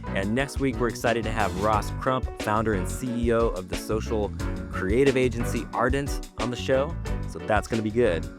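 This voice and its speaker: A man's voice: on the low side, normal speed, music in the background. A man co-hosting a podcast in casual conversation, giving slangy opinions and thinking aloud. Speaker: podcast co-host.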